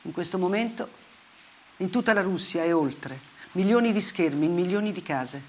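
An actress playing a grave, bitterly ironic woman journalist in a theatrical monologue, speaking deliberately in short, weighted phrases. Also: lowish voice, animated, slight background noise, close to an everyday voice. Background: music.

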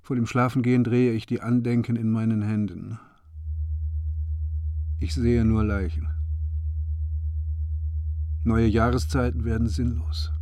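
An actor playing a bereaved, broken father in a radio drama, speaking in stark, measured short sentences. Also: mid-range voice, darkish tone, close to an everyday voice. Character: grief-stricken bereaved father, broken, proud, brooding.

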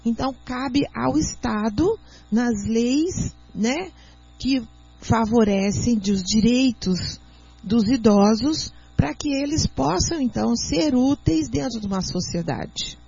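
A Brazilian woman speaking as a doctor and guest on a radio talk show, explaining in an earnest, teacherly way and sometimes reading aloud slowly. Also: on the high side, lively intonation, gravelly, mellow, husky. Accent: Brazilian Portuguese. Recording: muffled lo-fi radio audio.

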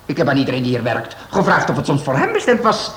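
An actor playing a chatty, gossipy theatre manager in a radio drama. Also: male voice, mid-range voice, big pitch swings, fast, fairly bright tone. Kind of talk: Dutch radio drama acting. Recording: roomy sound with faint hiss.

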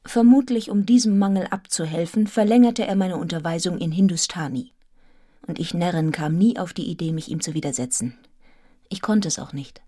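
An actress playing a serious, restrained young governess in a radio drama, telling her story in a measured, articulate, introspective way. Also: lowish voice, dark tone, lightly acted. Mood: reflective, grave. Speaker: actor in a radio play. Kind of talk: literary radio drama narration and dialogue.

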